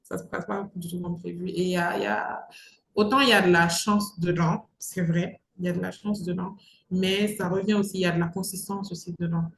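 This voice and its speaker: A woman's voice: fairly low pitch, fairly even intonation, brisk, creaky. Casting: young woman, emphatic conversational interviewee, roomy echo and faint noise behind. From Mali. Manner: emphatic, repeats phrases for stress. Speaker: entrepreneur interview guest. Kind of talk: conversational advice-giving interview talk.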